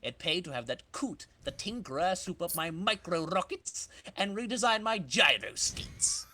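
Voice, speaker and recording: A cocky comic-book supervillain racer, acted out by a man, boasting and scheming out loud. The delivery is over-dramatic and sharp, leaping up and down in pitch, recorded close and dry. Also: very animated, normal speed, no room sound, exaggerated voice. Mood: scheming, exclaiming.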